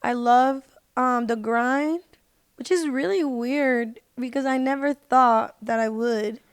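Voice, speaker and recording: A woman's voice: sits high, takes it slowly, clean tone, no echo, clean studio sound. A woman telling personal stories casually as a podcast guest, speaking in an informal, slangy way and repeating words for emphasis. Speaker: podcast guest, independent singer. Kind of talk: casual personal storytelling in an interview.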